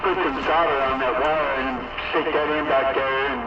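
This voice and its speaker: A man's voice: medium pitch, fairly even intonation, normal speed, distant mic. A man chatting casually as an operator over CB radio. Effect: CB radio transmission. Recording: muffled, echoey, very noisy CB radio signal. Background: loud radio static.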